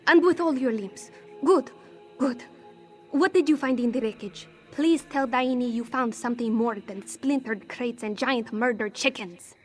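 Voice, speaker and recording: A woman's voice: fairly high pitch, measured pace, soft background music, natural voice. A woman playing a wry, proud cat-folk smuggler with a theatrical, sardonic manner in scripted fantasy game dialogue. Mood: coaxing, anxious. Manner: theatrical, sardonic, speaks of herself in third person.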